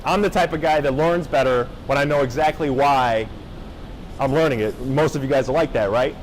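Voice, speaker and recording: A young man from Japan giving a casual motivational talk to high school students, often checking in with the crowd. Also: normal speed, slight background noise, rough recording. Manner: casual, engaging, checks in with the audience. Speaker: motivational speaker. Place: high school gathering space.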